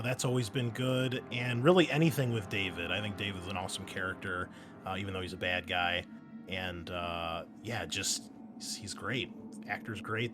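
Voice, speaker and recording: A man speaking as game master and host, chatting casually with enthusiasm and slang. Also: fairly low pitch. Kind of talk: casual table chat and game recap. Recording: noticeable background noise.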